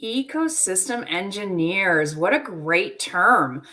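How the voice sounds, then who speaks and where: A woman's voice: on the low side, lively intonation, slow pace, pushed and bright. A woman hosting a live wildlife webinar, friendly and upbeat, talking conversationally over a video call. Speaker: host.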